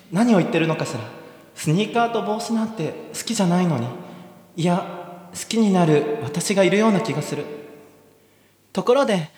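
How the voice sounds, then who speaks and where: Man playing a gushing, lovestruck magical girl, high-pitched. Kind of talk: comic amateur radio drama acting.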